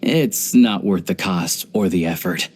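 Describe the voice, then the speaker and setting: A man voicing a video game character, an earnest, pragmatic young hunter and rifleman who talks in a measured, reflective way. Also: sits low, darkish tone, breathy edge. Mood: casual, wry.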